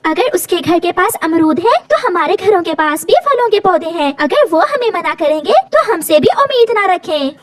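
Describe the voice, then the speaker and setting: A woman voicing a feisty, quick-tempered mother bird in a cartoon. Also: extremely high pitch, animated, pitched above the performer's own voice. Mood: indignant. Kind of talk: cartoon character acting.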